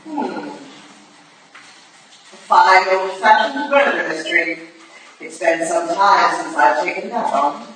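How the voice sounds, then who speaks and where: Echoey stage recording: woman as composed Victorian lady sleuth, slow, bright, formal and in command. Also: fairly low pitch, expressive. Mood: intrigued, composed. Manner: measured, formal. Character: commanding Victorian lady detective, coolly intrigued. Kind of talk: staged reading of a period stage play. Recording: echoey room from far off, faint noise.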